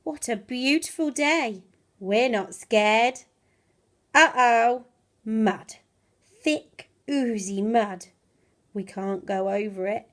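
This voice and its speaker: A woman reading a children's storybook aloud in an expressive, animated way, drawing out her words and playing up the sound effects. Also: fairly high pitch, takes it slowly, long pauses. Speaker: primary school teacher reading a bedtime story.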